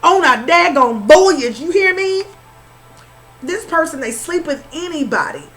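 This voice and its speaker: A woman's voice: sits high, animated, measured pace, fairly bright tone, faint music behind. A woman with a Southern accent doing an informal tarot reading, repeating herself and calling the listener honey. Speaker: spiritual reader.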